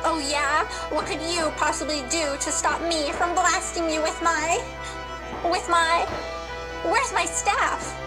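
A performer playing a slow, high-pitched villainous witch. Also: fairly even intonation, loud music behind the voice. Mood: menacing. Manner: theatrical, menacing. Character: villainous candy witch, gloating and scheming. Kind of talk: children's radio play acting.

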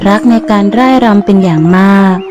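A woman's voice: medium pitch, a little fast, highs cut off, music in the background. A woman reading a scripted ghost story as its narrator.

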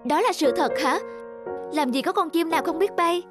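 Kind young she-parrot, high voice, inviting and encouraging, loud music underneath. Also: normal speed. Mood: friendly, encouraging. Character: friendly, encouraging young female golden parrot. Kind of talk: cartoon dubbing.

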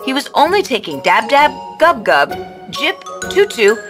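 A woman's voice: sits high, big pitch swings, a little slow, music in the background. A woman narrator reading a scripted fairy tale as a storyteller.

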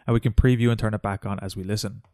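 A man narrating a step-by-step software tutorial as its host. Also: lowish voice, fairly even intonation, rapid-fire, no echo, studio quality.

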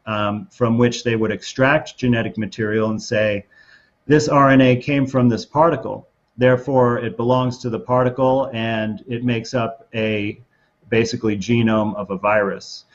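A middle-aged man answers as an expert guest. His pitch is average and his delivery measured and methodical. The sound is a little dull, as on a video call. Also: steady tone. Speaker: interview guest, doctor. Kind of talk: explanatory interview answer.

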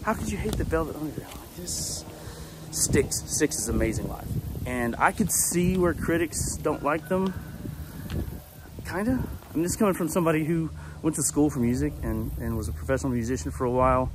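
A man's voice: fairly high pitch, fairly quick, noisy background. Rambling man outdoors. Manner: rambling, self-correcting, easygoing. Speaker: vlogger. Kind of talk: casual walk-and-talk monologue. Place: backyard outdoors. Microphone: phone held at arm's length.

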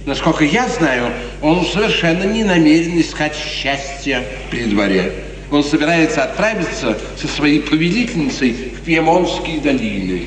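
Sly, swooping-voiced court chamberlain, reverberant. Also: male voice, a little high. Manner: drawn-out, insinuating, theatrical. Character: sly, sneering male court chamberlain, obsequious schemer. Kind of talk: 1950s Soviet radio theatre acting. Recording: distant, reverberant 1950s radio recording.

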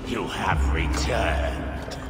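An actor playing a dying zealot villain in a video game, grandiose, halting and intense. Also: male voice, low-pitched, over loud music. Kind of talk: theatrical game cutscene villainy. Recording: echoey, long reverberant hall sound.

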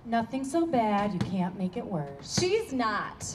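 A woman's voice: medium pitch, sing-song, slow pace. A young woman playing a blunt, needling, jealous character in a live staged reading of a play. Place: outdoor stage against a building wall. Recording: distant outdoor stage, clear background noise.

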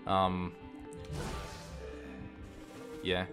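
A man's voice: deep voice, completely flat delivery. Rambling man's casual game commentary over music. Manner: rambling, halting, often repeats and restarts phrases; profane, enthusiastic. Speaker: gamer and game reviewer. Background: game music and sound.

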